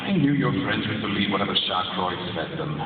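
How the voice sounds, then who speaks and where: An actor playing a vain, menacing Imperial commander, pompous and theatrical, in a live stage audio drama. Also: male voice, on the low side, expressive, quick pace, lightly acted. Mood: gloating, menacing. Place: very large arena stage. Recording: echoey arena, distant, tinny, noisy.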